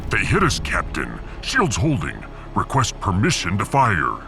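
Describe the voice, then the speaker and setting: A man's voice: low-pitched, huge pitch swings, a little slow, gravelly, over music, heavily acted. A man playing a proud, battle-hungry barbarian starship gunner in a sci-fi audio drama, speaking formally and stoically. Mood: battle-focused.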